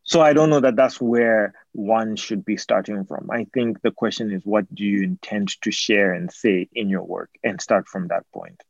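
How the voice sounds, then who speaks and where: A man's voice: on the low side, moderate pace, gravelly, few pauses, no echo, lo-fi sound. A young man from Zambia, an artist and PhD student, giving thoughtful interview answers with many fillers and restarts.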